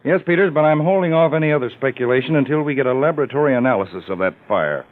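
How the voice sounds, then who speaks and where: A man's voice: medium pitch, expressive, racing, slight background noise, lightly acted. An actor playing a level-headed male counter-spy chief in a 1940s radio drama. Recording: narrow old radio broadcast.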